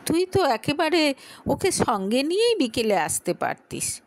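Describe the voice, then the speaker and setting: Woman voicing a fretful older mother, high-pitched. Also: big pitch swings, a little slow, dry recording, full character voice. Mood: mildly reproachful. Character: worried older mother and mother-in-law.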